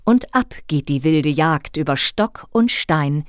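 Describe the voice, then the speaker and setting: Female storyteller for children's audio tale: animated, lowish voice, dry close studio sound. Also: slow pace, no echo. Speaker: narrator. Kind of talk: scripted story narration for children.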